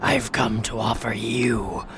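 A man playing a sinister alien agent in a radio drama, persuading in a slow, breathy, menacing whisper. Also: on the low side, fairly even intonation. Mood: sinister, coaxing. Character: sinister alien agent offering power and conquest. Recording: noisy ambient hiss.

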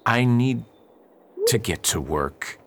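An actor playing an upright, earnest preacher who pleads and reasons with others in a radio drama. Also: male voice, mid-range voice, expressive, slow, a little hiss or hum. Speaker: reverend at a mission.

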